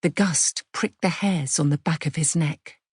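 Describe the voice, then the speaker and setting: A woman's voice: sits low, a little slow, a little mellow, slightly rough, no echo, clean studio sound. A woman with a British accent giving a scripted audiobook narration of suspense fiction.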